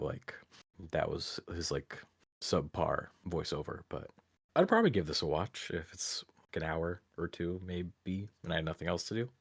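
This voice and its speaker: A man's voice: sits low, no echo, studio quality. A young man co-hosting a casual group reaction chat, rambling with lots of filler words. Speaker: podcast co-host.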